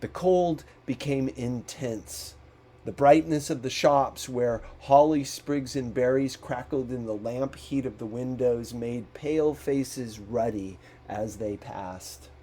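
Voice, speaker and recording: A male audiobook narrator reads literary fiction at a slow pace. His voice is mellow and mid-pitched. He shapes phrases deliberately, with a dramatic storyteller's delivery. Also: breathy edge.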